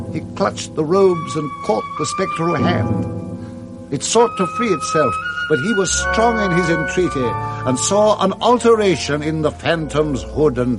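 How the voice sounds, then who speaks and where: A man with a British accent narrating a Victorian ghost story in a deliberate, theatrical radio drama style. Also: fairly high pitch, exaggerated voice. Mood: rising relief.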